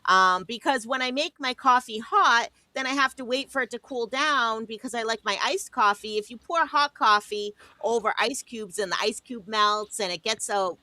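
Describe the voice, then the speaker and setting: A woman's voice: a little high, moderate pace, hardly any pauses, bright, forceful tone, no echo. A woman with a New York-sounding accent, chatting casually and at length as a co-host on a livestream over a video call. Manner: chatty, talkative rambling storyteller.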